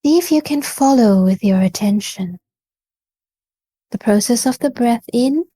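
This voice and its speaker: A woman leading a guided meditation in a gentle, calm and encouraging manner, leaving pauses between phrases. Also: fairly high pitch, no echo. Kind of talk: guided body scan meditation.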